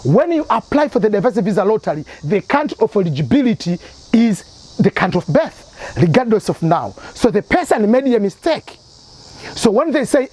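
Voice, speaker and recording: A man's voice: sits high, darkish tone. A man from Tanzania, hosting an advice channel and explaining his points through repeated examples. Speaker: immigration advice channel host.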